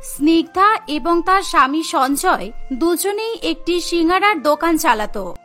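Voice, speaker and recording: A woman narrates a cartoon story in a very high-pitched voice. Her tone is bright and forceful, and music plays behind her. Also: expressive, a little slow.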